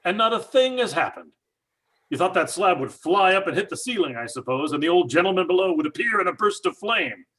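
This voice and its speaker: A man's voice: high-pitched, animated, brisk, sharp tone, breathy edge, exaggerated voice, low-quality recording. An actor playing a stubborn, skeptical father, blustering and scornful. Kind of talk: old time radio drama acting.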